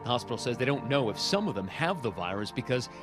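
A man's voice: mid-range voice, sing-song, quick pace, over music, natural voice. A man delivering a news report as a reporter.

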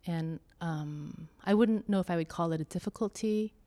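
A woman talking slowly and thoughtfully about faith and church life, pausing often with soft fillers. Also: lowish voice. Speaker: church member interviewee. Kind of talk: reflective conversation.

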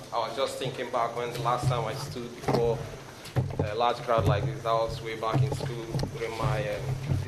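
A man with a Nigerian accent preaches to a youth gathering, halting often on ums and false starts. His voice sits at an ordinary pitch but stays fairly flat in melody. The sound carries a roomy echo with clear background noise. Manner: hesitant, frequent ums and restarts. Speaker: guest speaker. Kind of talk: sermon with scripture reading and prayer. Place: large hall before a youth crowd. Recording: echoey room with noise.

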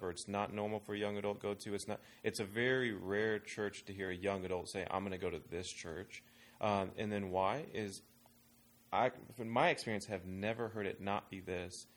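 A man speaking in a casual panel discussion, thinking aloud and rambling with restarts as he shares what he has seen of young adults in churches. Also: on the low side. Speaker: ministry worker advising pastors on young adults.

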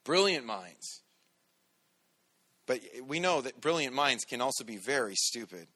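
A pastor preaching a sermon in a loud, commanding voice, energetic and conversational. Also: male voice, medium pitch, measured pace.

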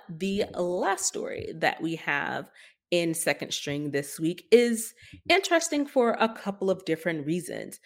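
Casting: female tech podcast co-host, lower register, candid and emphatic, conversational delivery. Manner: opinionated, emphatic, skeptical. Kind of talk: tech news commentary, conversational.

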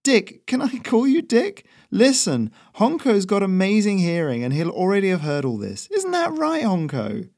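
A man's voice: huge pitch swings, moderate pace, gravelly, few pauses, a little mellow, heavily acted. A man voicing a cheeky young male courier who rides a messenger goose, talking in a breezy, teasing way. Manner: breezy, teasing, high-spirited.

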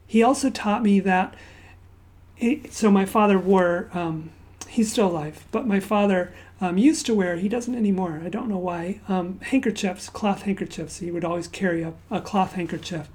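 A woman raised in Zambia giving a sermon. She opens with a quiet prayer and then tells stories conversationally, often dropping to a hushed near-whisper. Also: medium pitch, normal speed. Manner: conversational storytelling with fillers and asides. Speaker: pastor.

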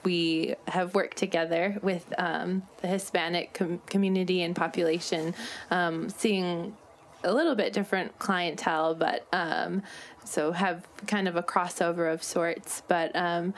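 A woman hosting a conversational interview, speaking in a searching, hesitant way. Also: fairly low pitch. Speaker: podcast host. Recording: clear steady background noise.

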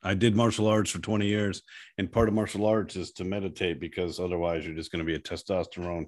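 A man with a deep voice talking in a casual interview, an animated and self-deprecating storyteller. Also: fast talker. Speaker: guest, app founder.

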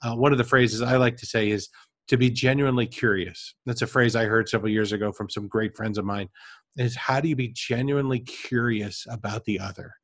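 A man in casual conversation, reflective, drawing on personal examples. His voice sits at an ordinary pitch and is recorded dry and close. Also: fairly even intonation, fairly quick, a bit bright, dry recording. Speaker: podcast co-host. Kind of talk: casual discussion.

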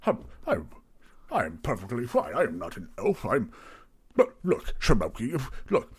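A man's voice: mid-range voice, wildly swooping pitch, normal speed, slightly rough, heavily acted. A man voicing a large, nervous, friendly half-elven tavern keeper who stammers politely in a tabletop game. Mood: nervous, defensive.

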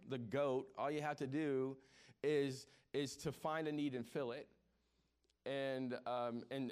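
Joking, conversational pastor in his forties. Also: medium pitch. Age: 40s. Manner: repeats words on restarts. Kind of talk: sermon.

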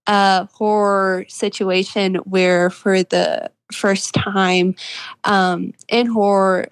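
A woman's voice: medium pitch, dry recording. A twenty-year-old woman answering interview questions about her work as an author in an earnest, reflective way. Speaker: interview guest, fiction author.

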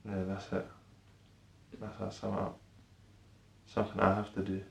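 Young guy on a podcast, low flat voice, hesitant, lots of likes and ums, echoey room. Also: normal speed, creaky. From born in Ireland, raised in the UK. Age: young adult. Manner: hesitant and soft-spoken, many fillers. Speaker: podcast guest. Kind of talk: casual conversation.

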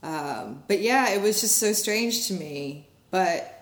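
A woman's voice: mid-range voice, animated, takes it slowly. A woman in her thirties from Connecticut, talking casually as an interviewee, hesitant, with many ums and restarts. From Connecticut, USA. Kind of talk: casual oral-history interview. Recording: echoey room.

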